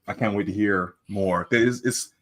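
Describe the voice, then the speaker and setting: A man's voice: on the low side, measured pace, a bit bright, a little hiss or hum. A man co-hosting a reaction show, reacting with teasing disbelief. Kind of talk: casual reaction commentary.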